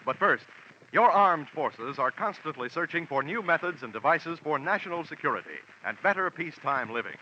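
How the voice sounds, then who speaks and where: Vintage male radio announcer, slightly high-pitched. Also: expressive, fairly quick, sharp tone. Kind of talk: 1940s radio announcing, scripted recruitment pitch. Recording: dull, slightly noisy old radio recording.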